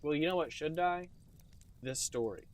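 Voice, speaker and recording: Male podcast host, slightly high voice, sarcastic and hammy, casual comic chat. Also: normal speed. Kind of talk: casual comedic banter.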